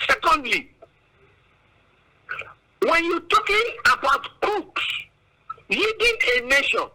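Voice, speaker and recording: A man with a Nigerian accent speaks slowly and emphatically as a political analyst. His voice is high and piercingly bright, with big swings in pitch. He sounds oratorical, leaning on repeated phrases. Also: poor audio. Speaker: studio guest analyst. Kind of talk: political commentary in an interview.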